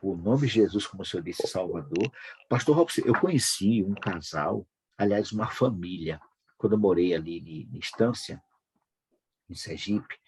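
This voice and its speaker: A Brazilian man hosting a devotional broadcast, speaking informally and fervently with a husky voice, repeating phrases for emphasis. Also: low-pitched, lively intonation, normal speed, darkish tone, a little hiss or hum, poor audio. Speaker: host of a devotional prayer broadcast.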